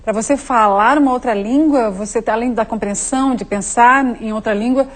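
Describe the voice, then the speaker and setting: Female talk show host with a slightly high voice, prompts guests and sums them up. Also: a little fast. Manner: asks questions, sums up guests' answers. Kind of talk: TV studio interview.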